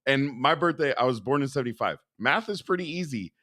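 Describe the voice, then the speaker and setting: A man in his forties, co-hosting a podcast and telling stories in a casual, animated way, acting out other people's voices. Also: medium pitch, a little fast, no echo, studio quality. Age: 40s. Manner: animated storyteller, acts out quoted voices. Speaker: podcast co-host.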